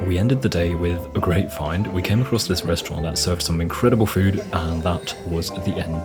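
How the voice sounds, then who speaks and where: Deep-voiced young man narrating a vlog. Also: brisk, loud music behind the voice. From Austria. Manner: relaxed, friendly storytelling with light self-deprecating humor. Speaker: travel vlogger. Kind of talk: scripted voice-over narration.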